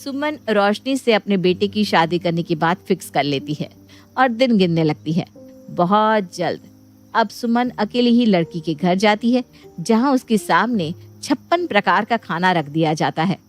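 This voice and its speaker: Woman, storyteller, scripted narration, middle-range pitch. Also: animated, unhurried, faint music behind.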